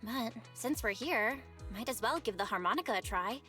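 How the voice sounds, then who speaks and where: A woman's voice: on the high side, expressive, normal speed, pushed and bright, faint music behind. A woman playing a playful, teasing young woman in a video game.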